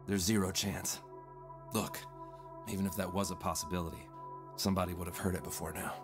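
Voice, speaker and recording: Actor as a blunt, fast-talking skeptical man. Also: low-pitched, flat delivery, lightly acted. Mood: dismissive. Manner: dismissive, blunt. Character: skeptical, blunt male colleague on deep-sea mission. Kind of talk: audio drama dialogue. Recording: music behind the voice.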